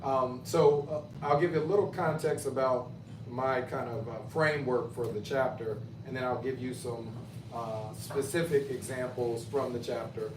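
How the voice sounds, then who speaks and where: A man's voice: medium pitch, moderate pace. North carolina professor guy talking to a bookstore crowd, no mic, roomy and noisy. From North Carolina, USA. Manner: relaxed, conversational, speaking without a mic. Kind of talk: bookstore author talk. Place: bookstore event room. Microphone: no mic, distant pickup. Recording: roomy, far-off mic, ambient noise.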